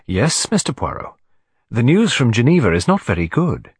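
A prim, efficient middle-aged secretary, a woman as a male narrator acts her out. The delivery is polite, literal and matter-of-fact, without a spark of imagination, in a slightly husky voice on a dry storybook recording. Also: medium pitch, very animated, moderate pace, no room sound. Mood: dutiful, flat.

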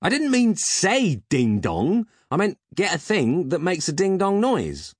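Comic audiobook lead, voiced by a man: petulant grumbler, easily rattled, very animated intonation, husky edge. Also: sits low, moderate pace, no echo, studio quality, heavily acted. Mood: exasperated. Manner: whiny, huffy, grumbling. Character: petulant, jumpy, self-important comic hero with secret lair.

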